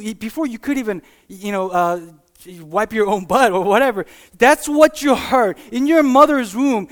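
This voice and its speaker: Informal, halting preacher giving a talk. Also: mid-range voice. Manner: halting and informal, often checking in with "right?" and "you know". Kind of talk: conversational sermon with personal anecdotes and acted-out examples.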